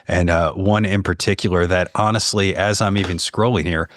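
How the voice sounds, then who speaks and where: A man from the United States chatting as a podcast guest, telling stories casually and pausing to search for words. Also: low-pitched, fairly even intonation. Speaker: podcast guest, horror fiction writer. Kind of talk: casual conversational storytelling.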